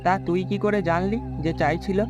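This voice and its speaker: A man's voice: high-pitched, fairly even intonation, normal speed, highs cut off, over music, natural voice. A man voicing a brash, carefree young villager in a cartoon, teasing and dismissive. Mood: breezy, dismissive. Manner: dismissive, breezy. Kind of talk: cartoon story voice acting.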